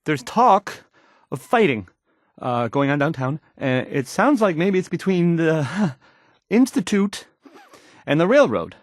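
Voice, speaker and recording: A man's voice: on the high side, sing-song, slow, no echo, clean studio sound. An actor playing a timid, awkward young male radio DJ in a video game, stammering and unsure of himself. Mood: hesitant, uneasy. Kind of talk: video game character acting.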